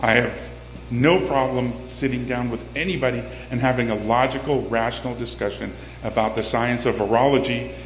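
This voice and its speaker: A man fields questions from the floor at a community meeting, speaking loudly and emphatically and doubling up words at the start of his sentences. His voice sits in the middle range. It rings through a large banquet room with long reverb and a faint electrical hum underneath. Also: medium pitch, fairly even intonation, normal speed. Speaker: city council candidate answering audience questions. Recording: echoey hall with faint hum.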